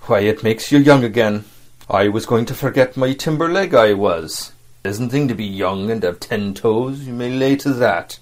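A man's voice: medium pitch, moderate pace, lightly acted. A man doing a wheedling one-legged old sea cook. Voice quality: rough sailor's dialect. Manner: cajoling, folksy. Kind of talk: audiobook character voice.

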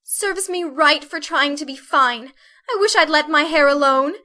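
A woman voicing a girlish, excitable teenage older sister in a storybook, fretful and prim, speaking in exclamations. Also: sits high, moderate pace, voice pushed up. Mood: petulant. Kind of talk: storybook character reading.

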